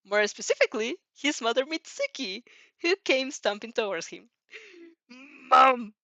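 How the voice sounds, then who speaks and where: A woman's voice: fairly high pitch, big pitch swings, normal speed, a bit bright, dry recording, muffled recording. A woman reading fan fiction aloud with casual reactions, giggly and often stumbling over words and rereading them. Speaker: narrator and reactor.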